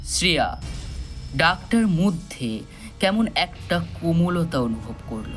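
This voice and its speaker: Male narrator for thriller audio story, slightly high voice, very expressive, suspenseful pauses. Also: soft background music. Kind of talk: dramatic scripted thriller narration.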